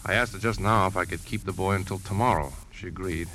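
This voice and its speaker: An actor playing a troubled, determined uncle who also narrates, in a 1950s radio drama. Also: male voice, sits low, fast, bright, forceful tone, slight background noise, rough recording. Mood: worried. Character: anguished middle-aged uncle and narrator, determined to protect boy. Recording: 1950s radio broadcast, music behind.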